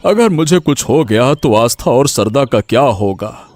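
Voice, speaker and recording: A man's voice: medium pitch, lively intonation, unhurried, darkish tone, lightly acted. A man playing a principled old village father in a story, speaking earnestly and emphatically. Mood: worried, hopeful. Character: worried, principled old village father, indignant when threatened. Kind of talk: Hindi audio story dramatic acting.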